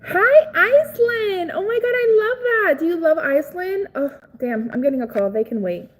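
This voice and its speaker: A young woman from Cuba, raised in Florida, chatting casually on a live stream, greeting viewers by name and reacting excitedly. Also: very high-pitched, normal speed. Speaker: livestream host.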